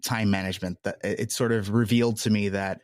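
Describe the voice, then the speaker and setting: A man's voice: lowish voice, steady tone, quick pace, dry recording. Male interview guest, conversational and rambling, modest about himself, recorded dry. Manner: rambling, self-deprecating. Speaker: podcast creator being interviewed. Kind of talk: casual conversational interview answers.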